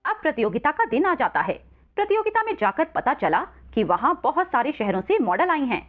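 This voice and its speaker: A woman's voice: high-pitched, very animated, fairly quick. A woman narrating a scripted children's story as storyteller, on a narrow phone-like recording.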